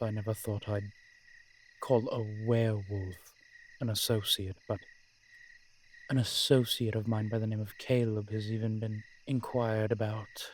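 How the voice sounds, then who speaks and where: Genteel, secretive age-old male vampire, performed by a man for audio roleplay; deepish voice, very slow, deliberate phrasing. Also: a little hiss or hum, poor audio. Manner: measured, formal, genteel phrasing with deliberate pauses. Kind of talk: scripted audio roleplay addressed to the listener.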